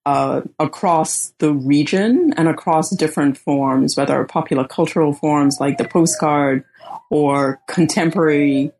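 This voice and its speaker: Bahamas-born woman guest, low voice, unhurried, thinks aloud with frequent ums. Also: darkish tone. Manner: slow and measured, many ums and pauses. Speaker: guest author. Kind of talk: thoughtful academic interview answers.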